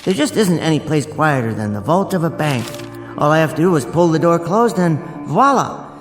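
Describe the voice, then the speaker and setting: An actor playing a meek, bookish bank clerk who talks to himself in an old-time radio drama style. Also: male voice, fairly high pitch, lively intonation, close to an everyday voice. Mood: contented, cosy. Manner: talks to himself, halting repetitions when shaken. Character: meek bookish male bank clerk, dazed lone survivor. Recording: radio drama with music underneath.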